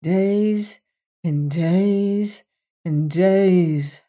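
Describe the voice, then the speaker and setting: A woman voices a gentle young fairy-tale prince. The words come slowly and quietly, with a sorrowful, forgiving temper. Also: on the low side, animated. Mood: sad, gentle.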